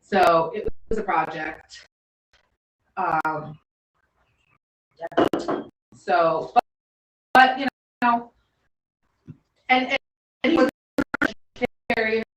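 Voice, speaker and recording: A woman's voice: medium pitch, animated, extremely slow, pauses often, a bit bright. A woman in her early thirties, a mother, chatting casually as a guest, rambling with many restarts. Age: 30s. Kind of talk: casual chat. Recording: roomy, distant mic with faint background noise.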